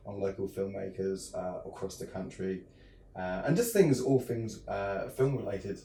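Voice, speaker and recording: A man's voice: low-pitched, unhurried, roomy sound, recorded from a distance. A young English man from the south of England with a southern English accent, chatting casually in an interview with lots of ums and restarts. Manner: relaxed, many ums and restarts. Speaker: interview guest, filmmaker.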